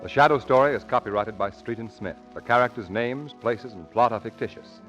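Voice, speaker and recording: A man's voice: mid-range voice, brisk, over loud music. A man announcing on 1940s radio in a formal, emphatic scripted style. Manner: emphatic, formal sales delivery. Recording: muffled old radio broadcast.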